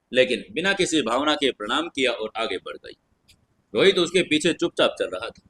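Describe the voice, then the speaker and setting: Husky male fantasy story narrator. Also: mid-range voice, a bit bright.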